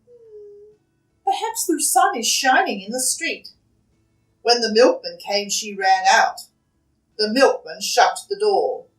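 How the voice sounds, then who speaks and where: A woman reading a children's picture book aloud as a storyteller, expressively, with dramatic shifts between hushed and loud delivery. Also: mid-range voice, far from the mic. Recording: roomy echo, away from the mic.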